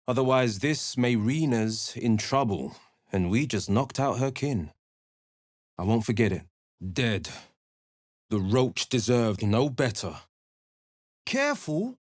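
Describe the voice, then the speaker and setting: A dashing young warlock hero. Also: male voice, medium pitch, no room sound, clean studio sound. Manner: earnest, crisp one-liners. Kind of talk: video game voice lines. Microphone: close studio mic.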